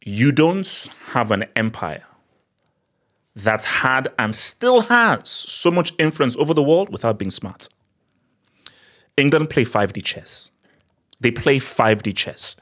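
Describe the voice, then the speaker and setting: A man in his thirties with a Nigerian accent, giving sarcastic, animated political commentary into a desk microphone in a small studio. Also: medium pitch, slow, long pauses. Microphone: desk mic on boom arm.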